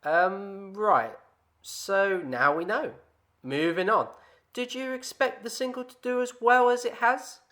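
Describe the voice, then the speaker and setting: A polite radio interviewer played by a woman in an amateur drama. The voice is sharp and bright, with formal, upbeat presenter phrasing. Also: medium pitch, a little slow, close to an everyday voice. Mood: polite, dry. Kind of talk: amateur radio play acting as broadcast presenter.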